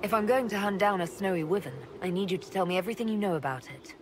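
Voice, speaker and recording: An actress playing a steady, businesslike leader of adventurers in a video game, direct and plain-spoken. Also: female voice, medium pitch, fast, noisy background, natural voice. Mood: firm, questioning. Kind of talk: video game dialogue acting.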